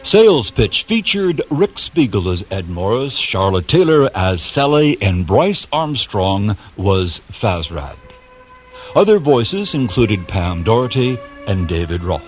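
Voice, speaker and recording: A male radio drama announcer with a lowish, very animated voice. He reads scripted lines slowly and theatrically. Music plays clearly behind him on a slightly muffled recording. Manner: measured, theatrical. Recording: dull lo-fi audio under music.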